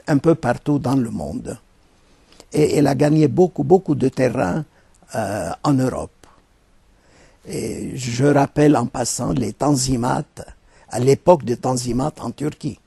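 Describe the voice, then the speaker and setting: An older man speaks very slowly in a gravelly, deeply husky voice. His tone is dark and mellow. He answers interview questions formally and hesitates often as he picks his words. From Lebanon. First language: Arabic. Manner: deliberate, formal, with frequent hesitations.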